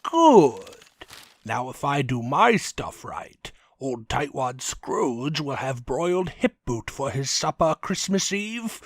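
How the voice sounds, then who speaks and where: Swooping, gravelly cartoon villain boss. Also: male voice, medium pitch, very animated, dry recording, full character voice. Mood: gloating, commanding. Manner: sly, gloating, drawn-out delivery. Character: scheming male villain boss, gloating schemer. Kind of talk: comic dub cartoon acting.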